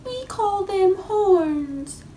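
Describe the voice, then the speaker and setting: Lady doing a friendly unicorn from a kids book, high voice, words really stretched out. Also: expressive, drawn-out words, far from the mic, noisy background, full character voice. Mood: welcoming. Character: friendly welcoming unicorns, bright storybook creatures.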